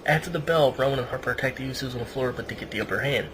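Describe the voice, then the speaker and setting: A man's voice: medium pitch, rapid-fire. A man hosting a casual podcast, reading a match report aloud and dropping in wry asides. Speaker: podcast host. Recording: noisy amateur podcast recording.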